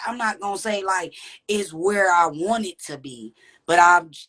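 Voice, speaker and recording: A woman with a deep, raspy voice, speaking candidly and reflectively as a podcast guest. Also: fairly bright tone, poor audio. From Texas. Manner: reflective, earnest, with frequent "you know what I'm saying". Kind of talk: candid conversational interview.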